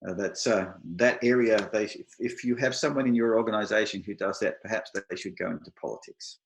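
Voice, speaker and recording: A middle-aged man with an Australian accent gives an advice talk to an online meetup. He keeps his pace slow and his words clear, with touches of dry humour. His voice sits on the lower side. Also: echoey room, slight background noise, poor audio. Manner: slow, deliberate, clear delivery for non-native listeners. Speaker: IT professional speaking at an online meetup.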